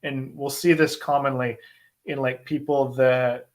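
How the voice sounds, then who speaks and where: A man with an American accent answering viewer questions in an informal, conversational way, thinking aloud with pauses as he explains. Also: mid-range voice, flat delivery. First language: English. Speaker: host, asylum lawyer answering viewer questions. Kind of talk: informal live Q&A, explaining law.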